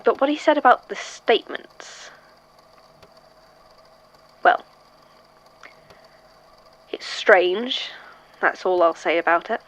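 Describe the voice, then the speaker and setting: Sardonic sceptical archivist, male role woman acting, audio drama; unhurried, very lively intonation, dry wit. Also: mid-range voice, low-quality recording. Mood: musing, dismissive.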